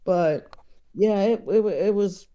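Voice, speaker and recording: A man's voice: high voice, slow pace, dark tone, muffled recording. A man speaking as a podcast guest, chatting casually and analytically about what he loves, often hesitating as he thinks aloud. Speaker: podcast guest, comedy enthusiast. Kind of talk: casual opinionated film chat.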